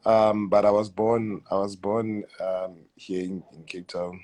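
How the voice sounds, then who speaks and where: A man in his thirties with a South African accent, answering interview questions in a hesitant, halting way, often repeating words and letting sentences trail off quietly. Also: on the low side, level tone, a little hiss or hum. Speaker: guest footballer. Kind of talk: casual interview.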